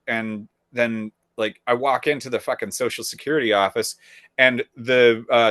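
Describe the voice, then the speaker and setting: A man's voice: mid-range voice, no echo. A young adult man co-hosting a podcast, telling a frustrated story in casual chat, acting out the people he quotes and swearing freely. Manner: animated storyteller who acts out the people he quotes; frequent swearing, wry and exasperated. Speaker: podcast co-host.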